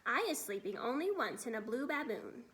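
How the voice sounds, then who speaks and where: A woman voicing a kindly, excitable, very old male giant who talks in muddled grammar and whimsical made-up words for a storybook. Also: on the high side, normal speed. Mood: patient, mildly chiding.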